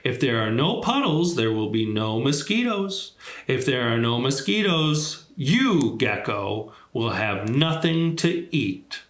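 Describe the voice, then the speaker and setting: A man voicing a patient, wise, firm elephant from a children's storybook, reasoning things out deliberately. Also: medium pitch, very animated, echoey room. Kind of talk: children's storybook read aloud.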